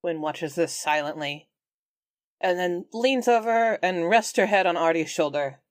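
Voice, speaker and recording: Playful woman with very lively intonation. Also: fairly low pitch, unhurried, dry recording, clean studio sound. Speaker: player in a tabletop roleplaying game. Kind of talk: casual banter, narrating her character's actions.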